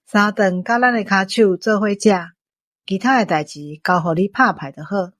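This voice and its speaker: A woman's voice: medium pitch, normal speed, no echo, clean studio sound, natural voice. A woman playing a shrewd, fair-minded restaurant boss in a Taiwanese-accented radio drama, businesslike and authoritative. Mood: brisk, commanding. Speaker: voice actor in an audio drama.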